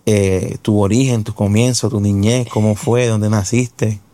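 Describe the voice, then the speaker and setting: Man, low mellow voice, dry close sound, church interview host. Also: fairly even intonation, a little slow, no room sound. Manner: devout, effusive interviewer.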